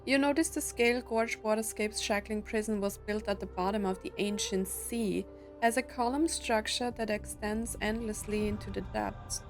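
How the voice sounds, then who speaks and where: A woman's voice: mid-range voice, fairly even intonation, normal speed. A woman gameplay streamer, reacting casually and reading game text aloud, with faint music behind her.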